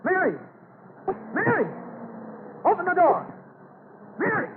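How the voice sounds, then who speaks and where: An actor playing a steady, worried farmer father in an old-time radio drama, reassuring his family through hard times. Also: extremely high pitch, slow pace, full character voice. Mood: desperate shouting. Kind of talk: 1940s radio drama acting. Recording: scratchy tinny old radio recording.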